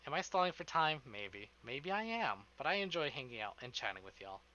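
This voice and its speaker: A man's voice: mid-range voice, big pitch swings, brisk, pushed and bright, highs cut off. A man streaming live, chatting casually with his viewers in an informal, rambling way and swearing freely.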